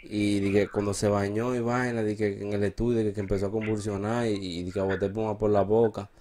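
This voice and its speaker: A Dominican man with a fairly low voice talks casually as a prank show host. He is slangy and repeats himself as he goes. He is close on a studio microphone, with noticeable background noise. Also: flat delivery, fairly quick, dark tone. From Dominican Republic. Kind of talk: casual prank phone call and chat. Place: small studio. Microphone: close desk microphone.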